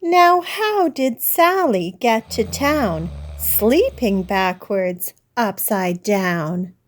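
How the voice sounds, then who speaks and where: A woman hosting story time, reading a picture book aloud to young children in a deliberate, sing-song manner. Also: medium pitch, expressive. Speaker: children's storybook reader and host.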